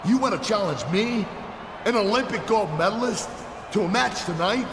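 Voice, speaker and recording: A man's voice: sits high, normal speed. A man voicing an arrogant, self-important champion wrestler, sarcastic and pompous, in a video game cutscene. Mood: indignant, threatening. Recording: echoey hall, background noise.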